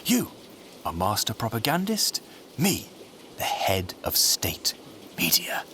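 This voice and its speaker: An actor playing an urbane, sardonic journalist and schemer in an audio drama, droll and theatrical in delivery. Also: male voice, fairly low pitch, huge pitch swings, slow, full character voice. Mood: scheming, excited. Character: urbane, sardonic male state media journalist, flamboyant schemer. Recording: noisy ambience behind the voice.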